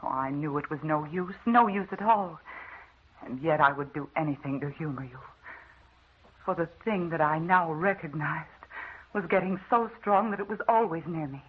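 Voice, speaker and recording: An actress playing a haunted, weakening wife in a melodramatic 1940s radio drama monologue, anguished and confessional. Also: female voice, low-pitched, normal speed, phone-quality sound, close to an everyday voice. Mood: fearful resignation. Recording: thin, hissy old radio recording.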